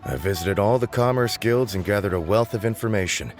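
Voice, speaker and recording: Man playing cocky low-voiced young informant. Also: fairly quick, loud music behind the voice, close to an everyday voice. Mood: proud. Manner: boastful, chatty, showy. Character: cocky, eager, boastful young male informant. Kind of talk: video game voice acting.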